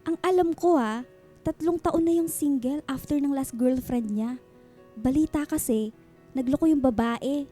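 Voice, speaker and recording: A woman's voice: sits high, measured pace, dark tone. A Filipino actress playing a nosy, matchmaking friend in her thirties in a radio drama, gushing and bantering in slangy exclamations. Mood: eager, persuasive. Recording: music bed under the dialogue.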